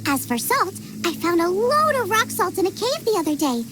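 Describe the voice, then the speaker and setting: An eager young female chef from a video game. Her voice is extremely high and swings up and down with expression. She sounds cheerful and full of enthusiasm, over prominent game music. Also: very animated, moderate pace. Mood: eager, explaining.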